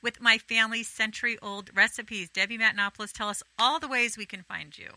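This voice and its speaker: A woman's voice: a little high, steady tone, a little fast, bright, forceful tone, dry recording, rough recording. A woman hosting a radio interview, asking questions and encouraging her guest. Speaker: radio host.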